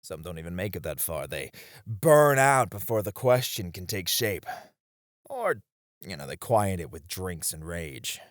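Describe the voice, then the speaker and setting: A man's voice: deep voice, very animated, unhurried, no room sound, clean studio sound. A man playing a seasoned knight, a wistful, teasing sparring comrade in a romantic roleplay, with reflective, hesitant phrasing. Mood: pensive. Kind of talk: romantic ASMR roleplay acting.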